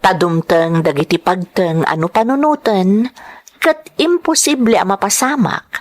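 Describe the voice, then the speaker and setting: A woman narrating a scripted drama from a letter, with expressive, measured storytelling. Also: mid-range voice.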